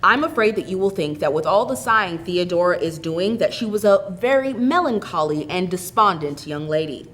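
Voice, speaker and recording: A woman reading a short story aloud as an expressive, scripted narrator. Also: on the low side, normal speed, few pauses. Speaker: story reader.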